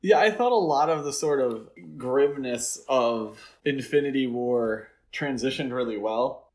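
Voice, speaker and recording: A man with an average-pitched voice co-hosts a film podcast. He talks informally and offers his own takes in a loose, conversational flow. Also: measured pace, a little mellow. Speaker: podcast host. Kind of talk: casual film discussion.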